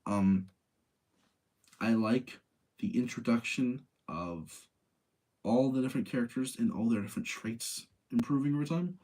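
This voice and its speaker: A man's voice: mid-range voice, measured pace, long pauses, darkish tone. A man hosting in a casual, informal chat style, freely giving his own opinions as he talks to listeners. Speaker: host of a live ranking show about video game movies.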